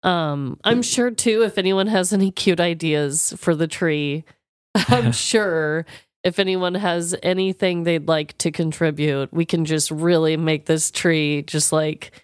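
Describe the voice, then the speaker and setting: A woman's voice: low voice, slow, clear, resonant tone, dry recording, clean studio sound. A woman co-hosting a podcast, talking casually and from the heart in an affectionate, supportive, informal way. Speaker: podcast co-host.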